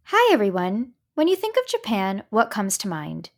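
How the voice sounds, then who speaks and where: An upbeat woman hosts a podcast interview, gushing with praise and eager questions. Her voice sits at an ordinary middle pitch for a woman, recorded on a podcast microphone in a remote call. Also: huge pitch swings, normal speed, music in the background. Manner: upbeat, gushing, many "I love that". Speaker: podcast host.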